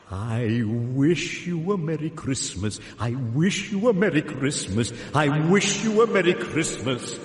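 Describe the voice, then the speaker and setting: A high, querulous Victorian ghost. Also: male voice, lively intonation, takes it slowly. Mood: gleeful. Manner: querulous, theatrical, over-polite. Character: plaintive, fussy male Victorian ghost, sinful and mischievous. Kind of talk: radio comedy drama.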